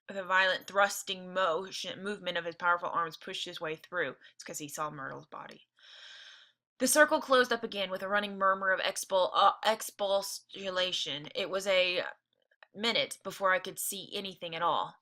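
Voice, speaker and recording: Very bright-voiced woman reading aloud. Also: on the low side, normal speed. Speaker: teacher reading along with listeners. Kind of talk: read-aloud of a novel with informal asides.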